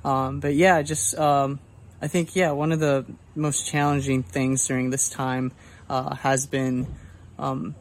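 A young man from Colombia sharing a casual video testimony, soft-spoken and hesitant with many fillers. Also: mid-range voice, level tone, takes it slowly, a little hiss or hum. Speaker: church member giving video testimony.

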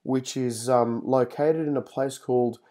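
A man's voice: medium pitch, steady tone, a little slow, warm tone. A man hosting an interview, asking long rambling questions with many fillers. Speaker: host. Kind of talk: interview host.

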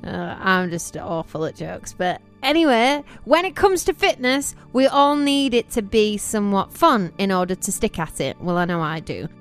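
A woman's voice: mid-range voice, sing-song, moderate pace, few pauses, smooth, soft background music. A woman from York, co-hosting a podcast with playful, self-mocking banter. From York, England. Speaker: podcast co-host. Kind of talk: casual podcast chat.

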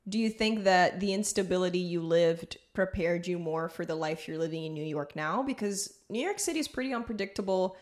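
Animated woman with a lilt. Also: fairly low pitch. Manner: animated, laughs easily, quick bursts. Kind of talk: casual conversation.

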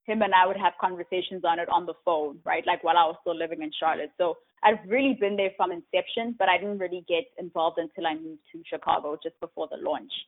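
A woman from Africa, speaking as an interview guest in a thoughtful, casual way, often pausing on "um" and checking in with "right?". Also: fairly low pitch, fairly even intonation. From South Africa. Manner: thoughtful, many "um"s and "right?" tags. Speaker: guest, tech community co-founder. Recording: tinny phone-like line.

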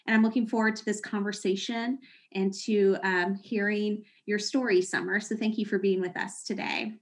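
A woman's voice: mid-range voice, steady tone, moderate pace. A woman pastor hosting a church podcast, introducing and interviewing a guest in a friendly, loosely worded way. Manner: friendly, hedging with you know and fillers. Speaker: executive pastor, podcast host.

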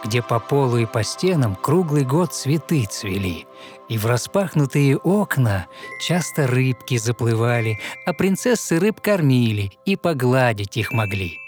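A man narrating a fairy tale in verse as scripted audio storytelling, with measured, expressive recitation. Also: medium pitch. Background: soft music.

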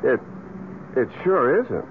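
An actor playing an eager young boyfriend in a radio drama, on a tinny, hissy old broadcast. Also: male voice, mid-range voice, sing-song, takes it slowly. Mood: baffled, excited. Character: eager young man, camera enthusiast, excitable boyfriend. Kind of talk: 1970s radio drama acting.

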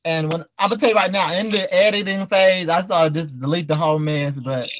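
Guy podcast host, kinda higher voice, slangy and informal, just chatting with the group. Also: a little fast, a bit bright. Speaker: podcast host and audio drama creator. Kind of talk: casual group interview.

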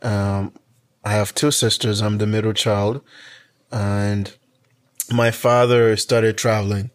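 Lower-voiced man in his twenties. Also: little pitch movement, slow. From Kenya. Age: 20s. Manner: storytelling, frequent restarts and fillers. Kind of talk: casual conversation.

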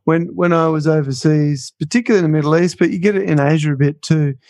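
A man's voice: on the high side, fast, darkish tone, no echo. A man co-hosting a casual podcast, making dry jokes. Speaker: podcast co-host.

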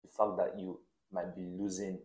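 A man's voice: low voice, little pitch movement, moderate pace, a little mellow. A man co-hosting a podcast in casual conversation, often chiming in with agreement. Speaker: podcast co-host. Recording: echoey room.

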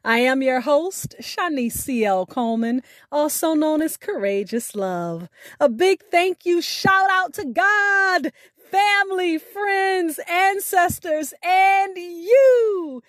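A woman hosting a podcast, reading scripted announcements in a deliberate, carefully enunciated way. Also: very high voice, animated, no room sound, studio quality. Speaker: podcast host.

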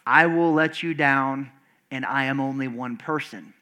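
A pastor preaching a lively sermon to his congregation with call and response, his voice screechy and loud, swinging from big high outbursts to low, quiet stretches with many restarts. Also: unhurried, clear, resonant tone. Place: church service before a congregation.

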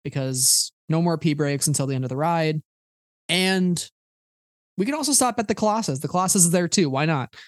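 A man's voice: on the high side, lively intonation, brisk, dry recording, studio quality. Chatty man hosting with many "likes". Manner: chatty, lots of fillers, laughs often. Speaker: podcast host. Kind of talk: casual podcast chat.

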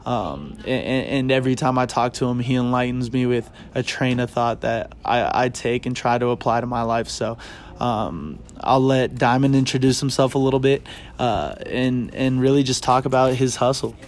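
An earnest young man hosts a casual interview podcast, his voice sitting at an ordinary middle pitch. He talks haltingly, with many ums and restarts. A faint hum of ambience sits behind him in the bookstore. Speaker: podcast host. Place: bookstore children's section.

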